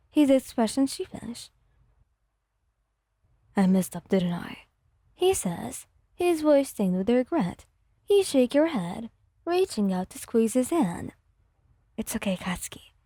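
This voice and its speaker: A woman's voice: high voice, mellow, no room sound. A woman narrating scripted fan fiction in an ASMR storytelling style, close to the microphone.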